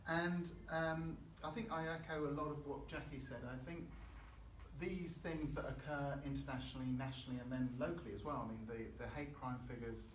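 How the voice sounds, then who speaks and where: A thoughtful man originally from Chile speaks on a panel, hesitant and often restarting mid-thought. His voice sits at a middling pitch and is caught from a distance in a reverberant hall. Faint room noise hangs behind him. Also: steady tone, fairly quick. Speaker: election candidate. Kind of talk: unscripted panel speech. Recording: echoey hall, mic far off.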